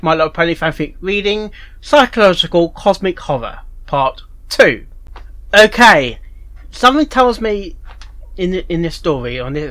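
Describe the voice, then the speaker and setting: A reaction video host giving casual commentary, halting and often repeating phrases. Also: medium pitch, fairly bright tone.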